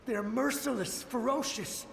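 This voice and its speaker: Echo-drenched, desperate ghostly samurai. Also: male voice, very high voice, moderate pace, vocal fry, a little hiss or hum, heavily acted. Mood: desperate warning. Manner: pleading, breathless urgency, dramatic. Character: desperate, loyal male samurai soldier, a haunted ghostly memory. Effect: ghostly reverb. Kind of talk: video game character acting. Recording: echoing long reverb, music behind.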